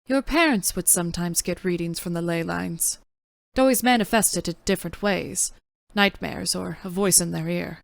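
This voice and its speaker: A woman in a fan dub playing a composed, authoritative mentor who explains things in a measured way. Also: fairly low pitch, vocal fry. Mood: calm, explaining. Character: composed, authoritative adult female mentor, patient and stern.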